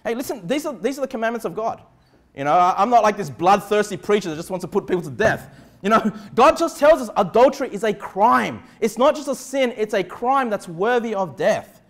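A middle-aged man with an Australian accent delivers a sermon in a high-pitched voice. He speaks as a pastor, emphatic and conversational, frequently tagging his points to check listeners are following. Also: lively intonation, a little fast. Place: indoor church pulpit in a small room. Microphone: clip-on lapel mic.

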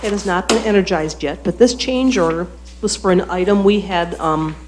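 A woman at a podium with a handheld microphone, explaining a building project to a council in a clear, matter-of-fact way. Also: lowish voice, moderate pace, noisy background. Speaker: project staff reporting to council. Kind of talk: explanatory report.

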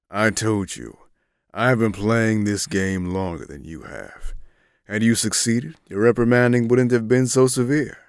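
A man playing a smug, soft-spoken grandfatherly agent in an audio drama, condescending and unhurried. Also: fairly low pitch, no room sound, studio quality. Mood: smug taunting. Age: older.

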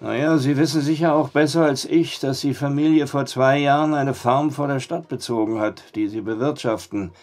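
An actor playing a reluctant, money-minded small-town storyteller in a radio drama, with a folksy manner. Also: male voice, mid-range voice, steady tone, moderate pace, few pauses, a little mellow, close to an everyday voice. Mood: storytelling.

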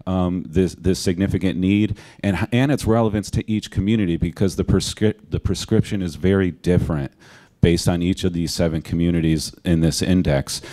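Deep, dark-toned man urging on a panel. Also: steady tone, moderate pace. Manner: urgent, pleading. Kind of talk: panel discussion answer. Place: auditorium stage panel.

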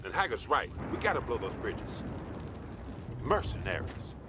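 An actor playing a gruff, weary army sergeant in a video game, curt and commanding with his squad. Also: mid-range voice, very animated, moderate pace, natural voice. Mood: grim, resigned. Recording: loud battle and vehicle noise behind.

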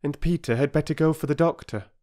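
Man doing a bright, brisk mother. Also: a little high, pitched above the performer's own voice, lightly acted. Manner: bright, reassuring.

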